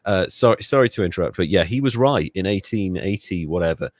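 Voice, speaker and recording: Low-pitched man with animated delivery. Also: fairly quick, no room sound, clean studio sound. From Wales. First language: Welsh. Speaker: podcast co-host. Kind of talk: casual comedic chat.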